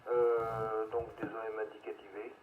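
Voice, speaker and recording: A man's voice: fairly low pitch, completely flat delivery, measured pace. Man on a tinny ham radio link. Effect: radio transmission. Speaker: amateur radio operator. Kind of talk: casual radio group chat. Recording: narrow phone-like radio link, static noise.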